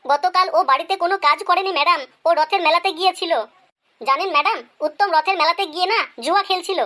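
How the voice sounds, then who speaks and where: A performer voicing a cheeky schoolboy pupil who makes excuses in a deferential, pleading way in an animated comedy skit. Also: extremely high pitch, fast talker.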